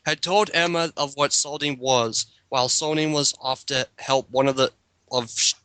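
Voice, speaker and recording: A man's voice: mid-range voice, steady tone, moderate pace, sharp tone, clear, resonant tone, no room sound. A man reading a story aloud as a mock dramatic reading, breaking into casual banter and stumbling over long words. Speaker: reader in a group dramatic reading.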